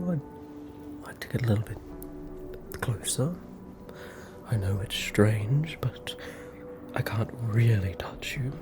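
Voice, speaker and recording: A fussy, polite female ghost with an Australian accent haunts a hospital bed, played by a woman in an ASMR roleplay. She speaks in a breathy whisper at a very unhurried pace. Her wording is quaint and hesitant, full of small apologies. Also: rumbling low. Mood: shy, curious. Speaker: ASMR performer.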